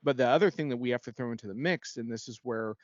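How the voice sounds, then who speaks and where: A man's voice: mid-range voice, quick pace, no room sound, muffled recording. A man speaking as a guest analyst, giving conversational expert commentary in an informal, explanatory way and often checking for agreement.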